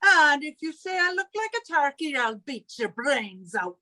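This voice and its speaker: A woman's voice: high-pitched, sing-song, normal speed, bright, forceful tone, heavily acted. A woman playing a huffy, vain Irish landlady in a 1940s radio comedy style, with an indignant, folksy way of talking. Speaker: amateur theatre performer. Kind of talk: 1940s radio sitcom acting.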